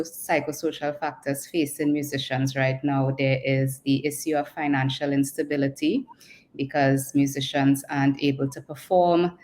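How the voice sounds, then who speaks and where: A woman speaking as a psychologist panelist, explaining things. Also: sits low.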